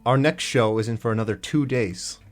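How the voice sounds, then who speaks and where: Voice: medium pitch, fairly quick, faint music behind, lightly acted. An actor playing a practical, composed leader of a troupe of performers in a radio drama. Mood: composed, decisive.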